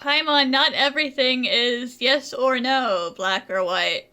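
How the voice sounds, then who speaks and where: Bright, high-pitched woman gamer. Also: slow pace, slight background noise. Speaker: video game player. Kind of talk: casual game playthrough commentary. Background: faint game music.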